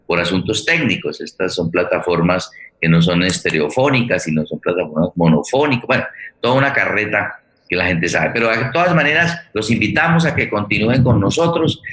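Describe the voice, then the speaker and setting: A man's voice: fairly low pitch, bright, forceful tone, rough recording. A man with a Colombian accent hosting an unscripted live online show, talking at length and often repeating words as he feels for the next one. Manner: wordy, halting, repeats words. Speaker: host.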